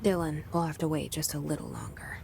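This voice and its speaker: Hushed, lowish inner voice of a woman. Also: a little fast, creaky, background noise. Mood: resigned, doubtful. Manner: hushed, introspective. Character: young woman's wary, self-doubting inner voice. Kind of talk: video game internal monologue.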